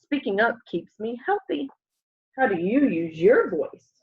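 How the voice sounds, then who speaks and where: A young woman reading a children's picture book aloud in an expressive way, often putting questions to young listeners. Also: on the high side, a little slow, husky. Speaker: storybook reader. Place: room at home, indoors. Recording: dull, lo-fi home webcam audio.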